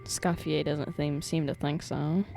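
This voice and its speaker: A woman's voice: low voice, flat delivery, unhurried, creaky, mellow. A woman streaming a video game with casual, teasing live reactions and music playing behind her. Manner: playful, teasing.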